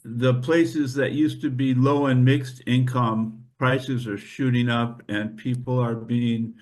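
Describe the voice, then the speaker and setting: A man explaining points in a measured way during an informal group discussion. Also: medium pitch, steady tone, darkish tone. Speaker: church discussion participant.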